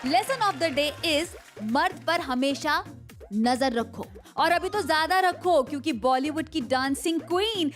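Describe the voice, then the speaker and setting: A woman's voice: high-pitched, a little slow. A woman hosting an award show on stage, announcing awards and bantering playfully with her co-host. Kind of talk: stage emcee patter. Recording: loud music behind stage voice.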